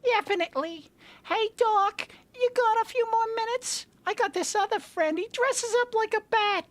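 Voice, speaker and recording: A woman voicing a giddy, chatty cartoon villainess in a comedic fanfiction reading, breezy about her dangerous friends. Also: normal speed. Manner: breezy, shrill laugh. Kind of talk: comedic fanfiction read-aloud.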